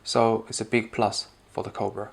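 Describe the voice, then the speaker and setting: Gravelly-voiced man reviewing a product. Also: fairly low pitch, flat delivery, moderate pace. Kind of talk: spoken product review and demonstration.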